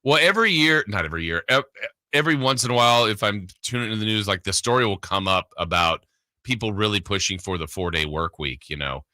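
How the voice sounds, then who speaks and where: Guy hosting sports talk radio, really bright forceful voice, rambly and chatty, dry studio sound. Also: on the low side, animated, fast talker, no room sound. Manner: rambling, conversational. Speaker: sports radio show host. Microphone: close studio broadcast mic.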